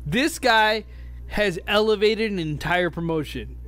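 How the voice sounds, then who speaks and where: A man's voice: high voice, unhurried, a bit bright, soft background music. A man co-hosting a wrestling podcast, making his case through rhetorical questions. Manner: asks rhetorical questions, makes points. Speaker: podcast co-host. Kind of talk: casual wrestling chat.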